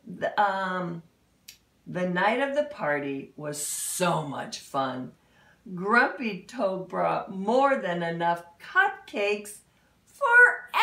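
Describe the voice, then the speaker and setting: A woman in her fifties reading a picture book aloud to young children, expressive and exclamatory, with dramatic pauses and asides. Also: lowish voice, gravelly. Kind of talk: animated read-aloud storytelling for young children. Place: small indoor room at home. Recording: roomy home recording, slightly distant mic.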